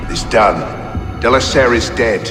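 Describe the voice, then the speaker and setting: An actor playing a cold, loyal conspirator who serves a master, in a game cutscene. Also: male voice, medium pitch, measured pace, close to an everyday voice. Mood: cold, grave. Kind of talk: video game cutscene acting. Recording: echoey, loud music behind.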